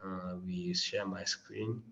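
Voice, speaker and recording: Low-voiced man teaching with a mild flu. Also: flat delivery, slow pace, vocal fry, fairly bright tone, in a large hall. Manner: upbeat, repeats phrases, many fillers. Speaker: mentor presenting a learning module. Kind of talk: online teaching session. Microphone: video call.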